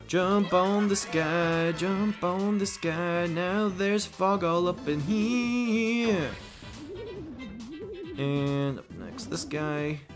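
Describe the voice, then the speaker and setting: A man's voice: high-pitched, drawn-out words, music in the background. A man hosting a let's play, giving rambling, offhand commentary in a casual style.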